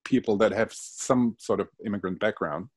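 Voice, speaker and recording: A man's voice: fairly low pitch, normal speed, a little mellow, breathy edge, no echo. Hesitant man, dry sound. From Germany. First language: Spanish. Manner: hesitant, with frequent restarts. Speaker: guest. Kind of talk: reflective interview conversation.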